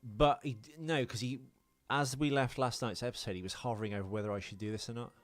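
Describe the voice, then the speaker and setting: A man's voice: medium pitch, steady tone, quick pace, dry recording. A man, middle-aged, co-hosting a podcast in a casual film discussion, explaining his points insistently. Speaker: podcast co-host.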